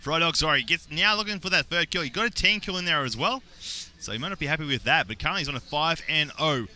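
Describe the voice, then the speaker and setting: A young adult man with an Australian accent doing play-by-play esports commentary, getting excited on big plays. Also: on the high side, fast talker. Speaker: esports caster.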